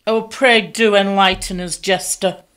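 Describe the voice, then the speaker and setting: A woman's voice: mid-range voice, fairly even intonation, measured pace, a bit bright, clear, resonant tone, natural voice. A woman playing the sheriff's sarcastic, scheming sister in an amateur radio play. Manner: sarcastic, tart. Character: sharp-tongued scheming sheriff's sister Cordelia.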